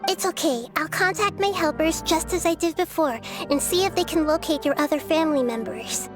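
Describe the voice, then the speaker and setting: A childlike girl deity from a video game, with an extremely high voice. She is wise and caring, and speaks in measured, earnest, articulate sentences. Music plays clearly behind her. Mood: reassuring.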